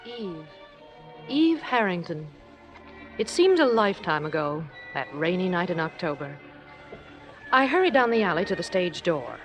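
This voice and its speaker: An actress playing a sensible, refined playwright's wife in a 1950s radio drama, with polished theatrical delivery. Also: female voice, fairly low pitch, sing-song, normal speed, long pauses, soft background music. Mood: reflective. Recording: slightly noisy old radio recording.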